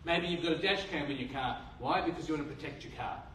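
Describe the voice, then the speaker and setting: A man's voice: a little high, fast talker, a bit bright, slight background noise. An Australian man preaching a sermon as pastor, earnest, asking rhetorical questions and restarting his phrases. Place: church hall. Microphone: handheld mic. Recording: roomy hall from a distance.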